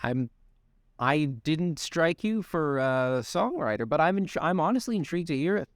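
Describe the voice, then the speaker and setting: A young man co-hosting a casual podcast, animated and swearing freely, often restarting sentences. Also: fairly high pitch, normal speed, no room sound, studio quality. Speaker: podcast co-host. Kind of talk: casual chat.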